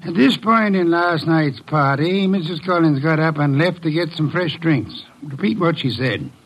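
Imperious older male detective for a vintage radio drama, slightly high voice, creaky edge, pompous and deliberate. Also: fairly bright tone, highs cut off. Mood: commanding, assured. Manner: pompous, precise, deliberate diction. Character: imperious, brilliant older male private detective, gruffly dismissive. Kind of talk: 1950s radio drama acting.

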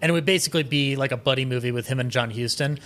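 Male podcast guest, mid-pitched, rambling enthusiast, casual and conversational. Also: racing, fairly bright tone. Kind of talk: casual chat.

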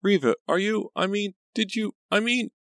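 A man's voice: medium pitch, very animated, moderate pace, no room sound, clean studio sound, voice pushed up. A man voicing an anxious, suspicious teenage girl in an audiobook, thin and shaky, with a pleading, stammering manner. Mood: alarmed, shrill. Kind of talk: audiobook character dialogue.

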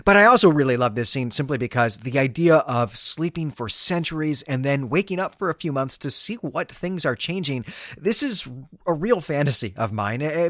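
A man's voice: mid-range voice, a bit bright, no echo, studio quality. A man co-hosting a podcast, talking enthusiastically and reflectively about a story he loves, with frequent fillers. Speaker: podcast co-host. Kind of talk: casual book discussion.

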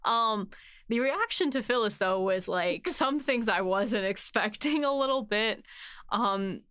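A woman's voice: mid-range voice, animated, unhurried, a bit bright, clear, resonant tone, no room sound, clean studio sound. A woman hosting a casual behind-the-scenes chat as a writer, talking informally with lots of "like" and "you know". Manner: chatty, informal, says "like" and "you know" often. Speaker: host, series writer.

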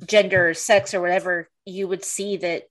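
A woman's voice: fairly low pitch, flat delivery, normal speed, poor audio. Chatty middle-aged woman podcast host. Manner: chatty, many restarts and "you know". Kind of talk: casual chat.

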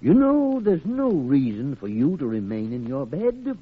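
Casting: gruff senior physician, older, fatherly and blustery, husky swooping delivery, vintage radio drama on a tinny recording. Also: fairly high pitch, big pitch swings, measured pace, full character voice. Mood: coaxing. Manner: blustery, commanding, theatrical. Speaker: doctor. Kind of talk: old-time radio drama acting. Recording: tinny, band-limited old radio recording.

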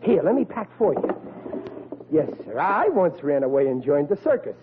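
Blustering, none-too-bright family man, vintage radio sitcom; swooping intonation, folksy grammar, thin hissy sound. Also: on the high side, big pitch swings, normal speed. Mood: goading. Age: 40s. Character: blustering, dim-witted forty-year-old working-class father, cocksure. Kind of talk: old-time radio situation comedy acting. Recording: tinny, hissy old radio broadcast.

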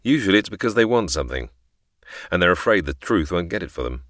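An actor playing a suave, reassuring man with hidden motives in a radio drama, calm and evasive in manner. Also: low-pitched, fast talker, dry recording, clean studio sound.

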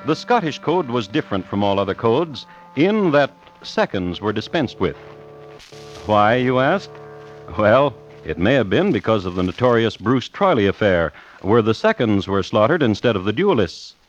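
An actor playing a wry, formal narrator of an old tale in a radio drama, with a measured, mock-solemn delivery. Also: male voice, lowish voice, big pitch swings. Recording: muffled old radio recording, music behind.

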